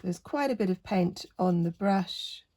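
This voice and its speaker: A woman with a croaky voice, chatting casually through a painting tutorial and gently encouraging the viewer. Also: fairly low pitch, expressive, unhurried. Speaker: art tutor.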